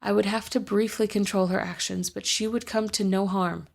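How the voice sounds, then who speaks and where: A woman host reading a first-person fantasy story aloud in a measured, deliberate way. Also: medium pitch, steady tone, gravelly.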